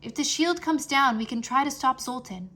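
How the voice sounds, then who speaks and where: A woman's voice: high-pitched, normal speed, pushed and bright, pitched above the performer's own voice. A woman voicing an earnest young boy hero, a dragon master in a children's fantasy storybook, speaking with urgency. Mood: focused.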